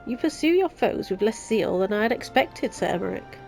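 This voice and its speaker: A woman's voice: fairly high pitch, animated, a little fast, gravelly, darkish tone, faint music behind. A woman with a Yorkshire accent and a nasal, blocked-nose voice, reading the lines of a devout male knight commander from a fantasy game. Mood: grave.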